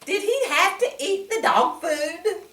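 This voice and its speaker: A woman reading a picture book aloud to children in an animated way, often putting questions to the listener. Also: extremely high pitch, a little slow. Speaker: children's story reader. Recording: echoey room, distant mic.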